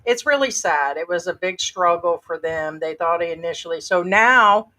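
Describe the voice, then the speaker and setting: A woman's voice: on the low side, animated, normal speed, pushed and bright. A woman from the United States co-hosting a casual chat, laughing often and reading a story aloud with comments. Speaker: co-host.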